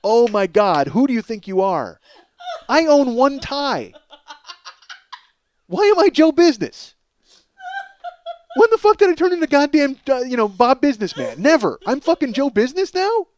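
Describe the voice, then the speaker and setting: A man's voice: very high-pitched, big pitch swings, normal speed, pauses often, no echo. A middle-aged American man delivering a casual, profane comedic monologue as a podcast host, exasperated and self-mocking, addressing his listeners as folks.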